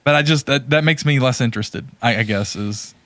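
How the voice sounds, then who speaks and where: Male podcast co-host, casual chat, hesitant and rambling, mid-pitched, dry recording. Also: a little fast, fairly bright tone, no echo. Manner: hesitant, rambling, restating himself. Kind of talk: casual chat about movies and games.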